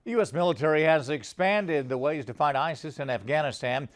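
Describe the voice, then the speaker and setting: A man's voice: a little high, brisk. A man with an American accent reading scripted news as a television anchor.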